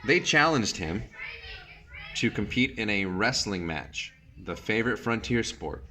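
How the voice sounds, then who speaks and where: Male storybook reader, lowish voice, teacherly and measured, narrating with short explanatory asides. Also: animated, bright, forceful tone, slight background noise. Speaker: teacher reading a picture book aloud. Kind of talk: storybook read-aloud with brief asides.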